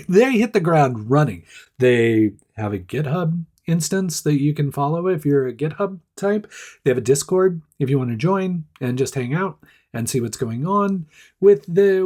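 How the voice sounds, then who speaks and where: A man hosting a casual startup news rundown, hoarse and stuffed up with a nasal voice, chatty and self-deprecating. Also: fairly high pitch, lively intonation, moderate pace, a little mellow.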